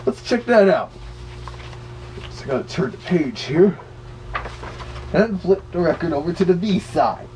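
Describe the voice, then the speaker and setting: Man as a high, animated wolf reviewer. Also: unhurried, pauses often, slight background noise, low-quality recording. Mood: wry, amused. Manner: exclamatory, mock-offended. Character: male big bad wolf as wry, indignant reviewer. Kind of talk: casual review commentary.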